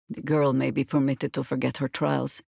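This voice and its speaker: An actress playing a weathered older female druid in a video game, wry and commanding, with a dry, deliberate delivery. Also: rumbling low, level tone, creaky, dark tone, husky, no echo, full character voice. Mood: grave, reflective. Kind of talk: dramatic game dialogue.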